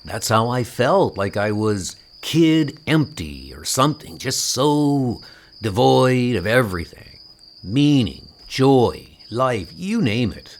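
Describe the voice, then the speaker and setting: A man voicing a demented, lonely young man in a comic book dub, confessional and drawn-out. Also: mid-range voice, big pitch swings, a little hiss or hum. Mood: brooding.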